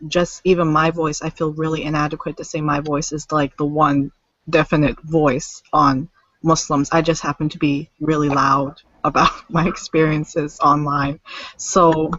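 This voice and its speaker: A woman author from the United States talking casually on an online panel, full of fillers and quick self-corrections. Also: low voice, fairly bright tone, no echo. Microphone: video call. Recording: dull lo-fi online call audio.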